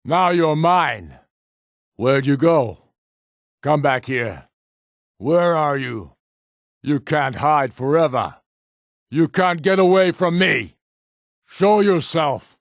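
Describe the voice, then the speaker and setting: An actor playing a proud, commanding Nord rebel leader in a fantasy video game, with a grave, authoritative manner. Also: male voice, fairly high pitch, expressive, slow, pauses often, no room sound, clean studio sound. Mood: aggressive, shouting.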